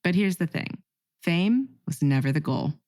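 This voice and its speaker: Low-voiced woman hosting a podcast. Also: expressive, measured pace, mellow. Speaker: podcast host. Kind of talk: scripted podcast intro and interviewing.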